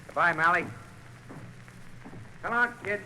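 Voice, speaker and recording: An actor playing a gruffly kind prison guard in an old radio drama. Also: male voice, sits high, takes it slowly, pushed and bright, full character voice. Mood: consoling. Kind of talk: 1940s radio drama acting. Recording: noisy old radio recording.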